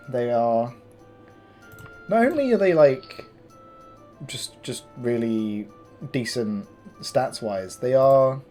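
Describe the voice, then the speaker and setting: A man with a gravelly morning voice, casually commenting on his game as he plays, thinking aloud with fillers and restarts. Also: mid-range voice, expressive, drawn-out words, pauses often, dark tone, soft background music. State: tired morning voice. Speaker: gameplay commentator. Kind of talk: casual let's-play commentary.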